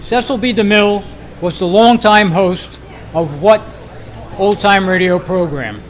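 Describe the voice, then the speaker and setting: A man with a high-pitched voice runs a quiz for a club gathering. He addresses the group informally and reads each question out to them. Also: a little slow, poor audio. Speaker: quiz master. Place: indoor club meeting.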